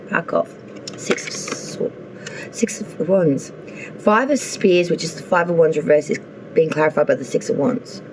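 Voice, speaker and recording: A woman's voice: deep voice, a little hiss or hum, rough recording. A woman doing a casual tarot reading, chatty and thinking aloud as she draws cards, with an offhand swear now and then. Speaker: tarot reader.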